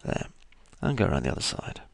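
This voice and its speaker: A man with a croaky voice, casually talking through each step of a 3D modeling tutorial as he works. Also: fast, no room sound. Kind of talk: screen-recorded software tutorial.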